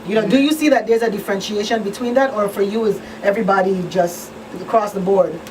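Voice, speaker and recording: A woman's voice: medium pitch, fast talker. Woman explaining things, distant from the mic. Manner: explanatory, checks listeners follow with tag questions. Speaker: talk show co-host and victim advocate. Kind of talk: panel discussion, conversational. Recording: distant mic, faint background noise.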